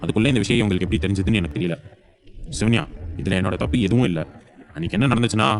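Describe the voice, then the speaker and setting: A man acts an earnest young husband in an audio drama. His fairly low voice hurries along. He pleads anxiously and tries to placate. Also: racing, natural voice. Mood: placating. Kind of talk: audio serial drama acting.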